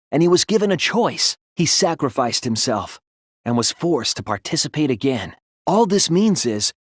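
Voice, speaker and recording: A man's voice: a little high, no room sound, clean studio sound. A young man voicing an earnest, wounded but defiant teenage boy detective in a dramatic video game dub, emotional and emphatic. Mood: quiet, somber determination. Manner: emotional, emphatic, swinging from hushed to impassioned.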